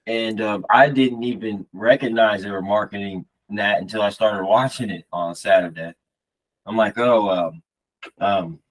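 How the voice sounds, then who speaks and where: Low-voiced man chatting as podcast co-host. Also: rough recording. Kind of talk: casual chat.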